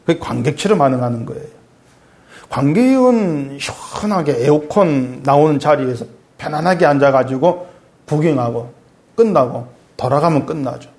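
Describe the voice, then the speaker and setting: Korean pastor preaching, rising and falling. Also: male voice, expressive, moderate pace. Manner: conversational preaching, poses questions to the congregation; swings between raised emphatic lines and quiet low asides. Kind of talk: sermon.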